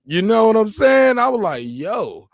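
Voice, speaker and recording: A man's voice: sits high, very animated, unhurried, no echo. Young man telling stories, dry sound. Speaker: panelist. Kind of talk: casual chat, animated storytelling.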